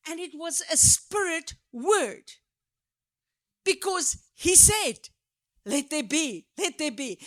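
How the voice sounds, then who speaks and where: A woman with a South African accent, preaching a sermon in an animated, emphatic way with drawn-out stresses. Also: high-pitched, no room sound, clean studio sound. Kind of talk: sermon teaching.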